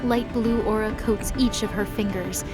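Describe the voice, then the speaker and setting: A woman reads scripted narration in a steady, measured voice at a middle pitch. Clear music plays beneath her throughout.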